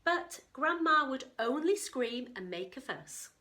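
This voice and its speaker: An excitable, curious little girl from a storybook, as a woman reader puts her on. The voice is bright and exclaiming. It swings up and down expressively. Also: high voice, a little slow, voice pushed up, full character voice. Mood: eager.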